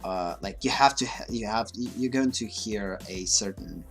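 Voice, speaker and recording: A man's voice: on the low side, moderate pace, creaky, faint music behind. A man whose first language is Russian, speaking English with a mixed, slightly Russian accent in a casual, hesitant livestream monologue full of fillers and restarts. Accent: mixed Russian-influenced. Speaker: livestream host.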